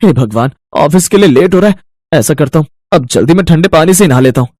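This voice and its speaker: A grown man in a moral-story cartoon, performed by a male actor. His voice is on the high side and very dry. He exclaims in exasperation. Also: animated, fast, darkish tone, no echo. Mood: exasperated. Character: men of a cartoon family and their doctor. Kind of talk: cartoon dialogue acting.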